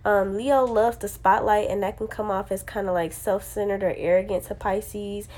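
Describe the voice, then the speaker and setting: A woman's voice: mid-range voice, steady tone, normal speed, a little mellow. A young woman who sounds congested from a sinus infection, chatting casually to her viewers with lots of slang and "um" and "like". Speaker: astrology video host. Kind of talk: casual talk to camera.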